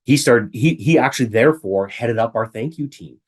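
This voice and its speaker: A middle-aged man with a raspy voice from illness, giving a chatty webinar talk from home and often asking rhetorical questions. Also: mid-range voice, a little fast. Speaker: webinar presenter. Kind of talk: casual presentation.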